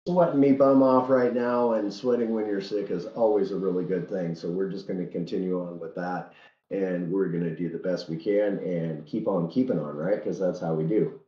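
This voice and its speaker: Low, dark-voiced man with a head cold. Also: fairly even intonation, roomy sound, muffled recording. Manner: folksy and earnest, halting at times. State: under the weather with a head cold. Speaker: livestream host. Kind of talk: casual livestream commentary.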